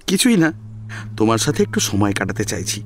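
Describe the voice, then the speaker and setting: A man's voice: on the low side, big pitch swings, fairly quick, slight background noise. A man playing an eager, lovestruck young college student, coaxing and flustered, in an audio story. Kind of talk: melodramatic audio story acting.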